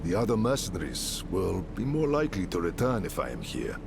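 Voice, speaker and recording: A man's voice: low voice, huge pitch swings, a little fast, vocal fry, background noise, full character voice. An actor playing a wry, fatherly middle-aged mercenary leader and smith in a video game. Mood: friendly. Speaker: game character. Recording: game soundtrack music behind. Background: game music.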